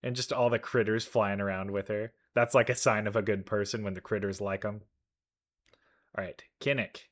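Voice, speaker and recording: A person giving casual, slangy, excited reaction commentary on a video game trailer, prone to exclaiming. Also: medium pitch, moderate pace. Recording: music playing behind the voice. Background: video game trailer music.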